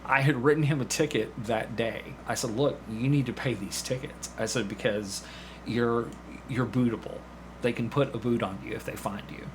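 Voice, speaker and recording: A man's voice: medium pitch, moderate pace, background noise. A man chatting casually as an interview guest, telling stories and acting out bits of dialogue. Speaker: podcast guest, community theatre actor. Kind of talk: casual anecdotal interview chat.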